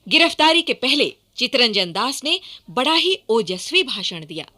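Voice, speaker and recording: A woman's voice: fairly high pitch, sing-song, measured pace, sharp tone. A woman narrating a historical radio play in a scripted, dramatic style.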